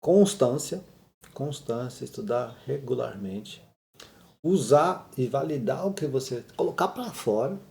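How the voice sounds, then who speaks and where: A middle-aged man talks through his study tips in a relaxed, conversational teaching video. His voice is low-set and gravelly with a dark, mellow tone. He peppers his speech with tag questions and often restarts his sentences. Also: measured pace. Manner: conversational, frequent "né?" tags, some restarts. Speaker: Japanese language teacher. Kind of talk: casual talking-head video.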